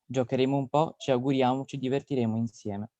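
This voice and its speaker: An Italian man with a warm, dark tone and a mid-pitched voice. He reads from a script, recorded fairly dry and slightly dull. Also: fairly even intonation, quick pace, no echo. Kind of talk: scripted welcome and presentation. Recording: dull, slightly muffled audio.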